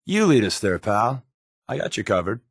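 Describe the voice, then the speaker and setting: A man's voice: on the low side, very animated, normal speed, breathy edge, dry recording, studio quality, full character voice. A man playing a sly, wry undercover resistance agent in a video game, talking in a casual, glib, sardonic way. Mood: businesslike. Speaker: video game companion character. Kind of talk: scripted game dialogue, conversational.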